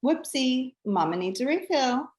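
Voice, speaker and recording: A woman's voice: sits high, expressive, a little slow, darkish tone. A woman playing a gushing, meddling pregnant best friend with a teasing, theatrical manner in a screenplay table read. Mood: breezy, teasing. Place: remote video call.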